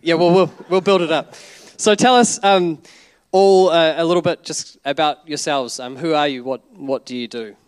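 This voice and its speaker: A man with a slightly high voice hosts a casual church interview. His voice is smooth and clear, and the sound is dry and close. He talks in a relaxed, jokey way and restarts his sentences a lot. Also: moderate pace, no echo. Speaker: pastor hosting the interview.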